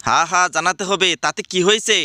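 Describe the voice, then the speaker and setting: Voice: mid-range voice, quick pace, sharp tone, clean tone, dry recording, clean studio sound. A voice actor playing an exasperated male schoolteacher in a cartoon, scolding his pupils and exclaiming at their answers. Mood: stern. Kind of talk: cartoon voice acting.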